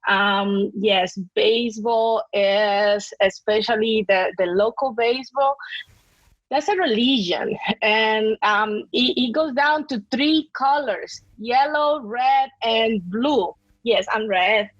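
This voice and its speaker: A woman's voice: on the high side, takes it slowly, no room sound. A woman from the Dominican Republic, a native Spanish speaker, answering conversationally as an interview guest. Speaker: podcast guest, real estate broker. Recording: dry, dull lo-fi audio.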